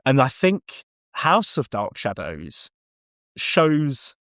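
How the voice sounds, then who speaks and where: A man's voice: mid-range voice, wildly swooping pitch, extremely slow, a bit bright, no echo. Male film podcaster, mid-range voice, casual, enthusiastic and sweary delivery, close dry sound. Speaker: podcast co-host. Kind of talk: casual film discussion chat.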